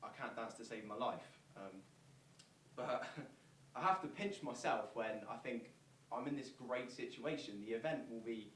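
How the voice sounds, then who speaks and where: A man's voice: medium pitch, expressive, a little slow, a bit bright. A man playing a modest, starstruck friend of a celebrity in a stage monologue. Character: starstruck, modest man befriended by a pop star. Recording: distant, echoey, noisy stage recording.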